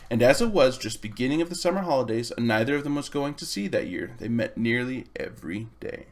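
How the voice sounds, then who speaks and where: A man's voice: mid-range voice, lively intonation, fast talker. A man reading a children's storybook aloud as its narrator. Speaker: reader.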